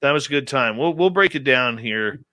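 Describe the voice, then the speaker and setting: A man's voice: mid-range voice, moderate pace, no room sound. A man hosting a radio show, joking and bantering casually with guests. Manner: joking, playful, animated.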